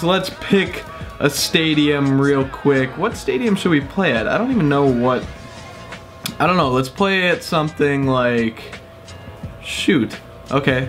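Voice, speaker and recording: A young man, a little sick, chatting casually with lots of fillers while playing a baseball video game at home. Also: mid-range voice. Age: young adult. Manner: chatty, rambling, upbeat with frequent fillers. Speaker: gaming YouTuber. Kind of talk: casual gameplay commentary. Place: small room at home, indoors.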